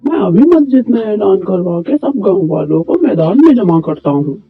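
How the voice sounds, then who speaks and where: A man acts out an indignant cartoon villager, husky and very animated in pitch. He complains emphatically. The recording is dull and lo-fi, with music behind. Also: moderate pace, heavily acted. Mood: determined. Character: indignant male villager complaining about bread prices. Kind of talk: Urdu moral cartoon story dialogue. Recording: dull lo-fi sound, music bed.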